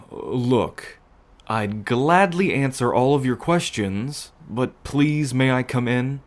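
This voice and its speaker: Man acting a slow-talking, sheepish estranged brother. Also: medium pitch, animated. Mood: sheepish, pleading. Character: estranged brother pleading with his sister. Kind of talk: ASMR roleplay acting.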